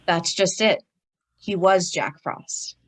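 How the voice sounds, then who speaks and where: Woman playing a low-voiced, worried executive man. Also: slow, a bit bright. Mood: flustered. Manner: flustered, formal. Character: worried, publicity-conscious male business executive. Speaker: actor in an old-time radio detective play. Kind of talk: 1940s radio acting.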